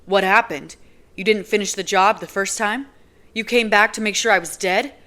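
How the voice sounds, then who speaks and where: A woman's voice: lowish voice, slow. A woman voicing a furious, accusing young man. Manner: accusing, loud and heated.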